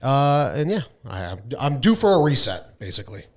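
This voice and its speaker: A man's voice: mid-range voice, big pitch swings, moderate pace. A man co-hosting a podcast, chatting casually and bluntly with plenty of swearing. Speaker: podcast co-host. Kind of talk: casual chat.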